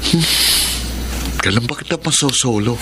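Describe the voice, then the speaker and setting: Casting: man as spoiled, insistent young Filipino suitor, radio drama; teasing, coaxing, mid-pitched, slight background noise. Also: lively intonation, normal speed, close to an everyday voice. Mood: pushy, teasing. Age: young adult.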